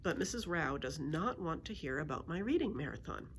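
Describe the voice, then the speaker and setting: A woman's voice: sits low, animated, a little fast, a little hiss or hum. A woman reading a children's book aloud as a storyteller, expressively acting out the dialogue.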